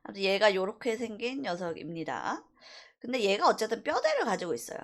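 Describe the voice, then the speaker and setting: Casting: female instructor, mid-pitched and animated, informal software walkthrough, explanatory delivery that leans on key points. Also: fairly quick. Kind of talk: software tutorial lecture.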